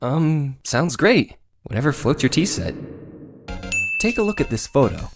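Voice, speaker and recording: A man's voice: medium pitch, big pitch swings, unhurried, over music. An actor voicing an exasperated but polite young male defence lawyer from a video game, with hesitant, sardonic asides. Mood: wry. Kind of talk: dramatized video game dialogue.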